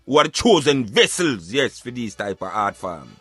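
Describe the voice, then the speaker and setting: A man's voice: mid-range voice, big pitch swings, normal speed, a bit bright, husky, dry recording, faint music behind. A Jamaican man giving emphatic, opinionated social commentary in Patois, like a street philosopher preaching on a radio show.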